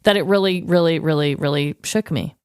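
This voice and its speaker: A woman's voice: low-pitched, a little fast, darkish tone, smooth, dry recording, clean studio sound. An American woman co-hosting a podcast in casual, reactive chat, speaking emphatically and repeating words for emphasis. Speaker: podcast co-host.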